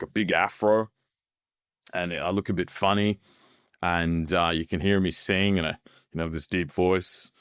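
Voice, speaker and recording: An Australian man in his thirties, a podcast guest telling his story in a relaxed, chatty way with many fillers and easy laughs. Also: low voice, dry recording, clean studio sound. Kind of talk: casual interview chat.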